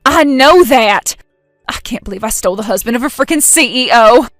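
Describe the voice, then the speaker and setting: Woman putting on a high whiny panicky girl, begging and outraged, dry close sound. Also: fast talker, fairly bright tone, dry recording, faint music behind, natural voice. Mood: panicked. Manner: whiny, pleading, easily outraged. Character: brash panicky young woman caught cheating.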